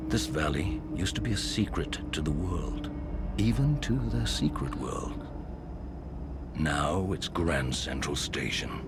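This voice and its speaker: An actor playing a weary, grave guardian of a hidden desert valley in a video game, speaking in a measured storytelling way. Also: male voice, sits low. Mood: brooding, regretful. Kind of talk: scripted game character monologue. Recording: music playing behind the voice.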